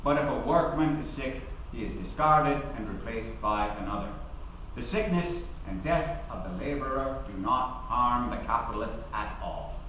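A middle-aged man giving a theatrical dramatic reading of a courtroom speech, declamatory and oratorical, with deliberate, emphatic phrasing. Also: medium pitch, a bit bright. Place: large hall, at a lectern. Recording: very echoey hall, distant mic, faint noise.

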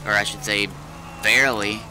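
Very bright, sharp-voiced man gaming. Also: fairly low pitch, fairly even intonation, normal speed, slight background noise, rough recording. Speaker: roleplay gamer. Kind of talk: casual in-game chat.

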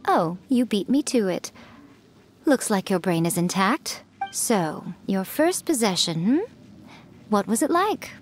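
A woman's voice: medium pitch, very animated, a little slow, slight background noise. A woman voicing a sly, centuries-old fox spirit priestess who teases and enjoys herself, in a video game. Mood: teasing. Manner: teasing, amused, playful.

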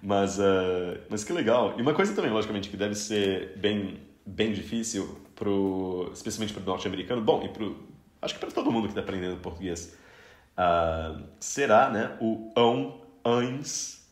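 Man, 30s, from Brazil, podcast host, relaxed chatty talk, slightly low voice, echoey room. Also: normal speed. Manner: chatty, with frequent restarts and easy laughter. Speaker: podcast host interviewing a guest. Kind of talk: casual interview conversation.

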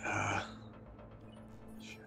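A man's voice: very slow, sharp tone. A middle-aged man playing a tabletop roleplaying game, casually improvising what his character does, hesitant and muttering as he thinks. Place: indoor room, online video call. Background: faint music.

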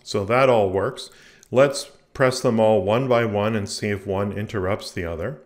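A man with a fairly low voice narrates a screencast tutorial. He explains each step methodically, as a host guiding learners through software. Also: moderate pace. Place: indoor voice-over recording for a screen capture.

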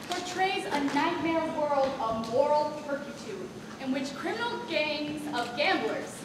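A high-pitched, bossy young stage manager played by a teenage girl in a stage comedy. She is sarcastic and full of doom, heard far off in a noisy, echoing auditorium. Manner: overdramatic, sarcastic, commanding. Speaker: student stage actor. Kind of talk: scripted stage comedy acting. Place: large school theatre stage, audience present. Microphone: far camera in audience. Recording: distant, noisy, echoey auditorium stage recording.

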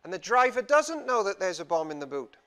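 A man's voice: high-pitched, sing-song, a little fast, sharp tone, clear, resonant tone. A young man from Wales giving a chatty, self-deprecating sermon as a visiting preacher in a small church, on a lapel mic. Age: young adult. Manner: gestures freely while talking. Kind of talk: informal sermon. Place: small church hall, indoors.

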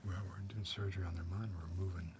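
Casting: wry, menacing middle-aged uncle played by a man, deep hushed murmur, deadpan ASMR drama, noticeable background hiss. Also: very fast. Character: menacing, wry backwoods uncle with a dry wit. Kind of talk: whispered ASMR roleplay. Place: dim indoor room, seated close to the mic. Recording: close mic with clear background hiss.

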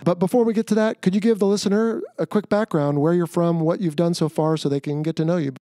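A man's voice: high voice, fast, warm tone, no room sound, studio quality. A middle-aged man hosting a podcast, a retired Navy pilot, chatting in a friendly, upbeat way with his guest. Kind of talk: casual interview hosting.

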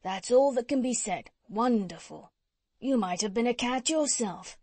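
Woman playing a folksy, animated, scheming tradesman. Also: a little high, a little slow, dry recording. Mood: admiring, eager. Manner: chatty, uneducated colloquial speech. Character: folksy, unschooled, scheming tradesman, male cat's-meat seller.

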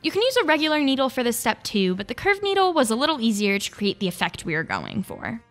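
Craft tutorial host: young female, fairly high pitch, scripted instructional read over background music. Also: wildly swooping pitch, fast, fairly bright tone. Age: young adult.

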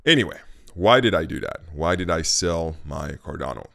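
Deep, gravelly-voiced man vlogging from home. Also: lively intonation, moderate pace, fairly bright tone. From Haiti. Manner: conversational and candid, with frequent fillers. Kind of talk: casual talking-head vlog. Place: home kitchen, indoors. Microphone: studio mic on a stand in front of him.

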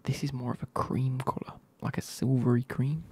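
A man, reviewing football kits in a soft, casual whisper with wry asides. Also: medium pitch, level tone, normal speed, breathy edge.

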